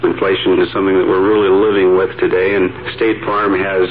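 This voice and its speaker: A man's voice: sits low, flat delivery, fairly quick, slight background noise. A man giving an informal, explanatory testimonial as an insurance agent in a radio advertisement. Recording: narrow phone-like old radio ad, music behind.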